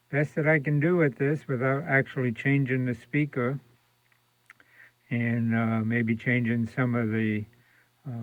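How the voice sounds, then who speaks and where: A man giving a casual, unscripted radio repair demonstration in hesitant, halting phrases, recorded indoors. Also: mid-range voice, no echo. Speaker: radio restorer demonstrating a set. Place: indoor room with fluorescent lights. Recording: dull lo-fi home video sound.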